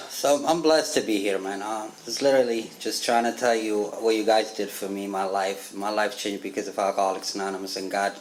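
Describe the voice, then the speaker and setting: A man in his thirties from Ethiopia with an Ethiopian accent, telling his recovery story as a guest speaker at a meeting, joking and laughing often with halting restarts. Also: lowish voice, a little hiss or hum, poor audio. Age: 30s. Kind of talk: personal recovery story, casual chat. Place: church meeting room.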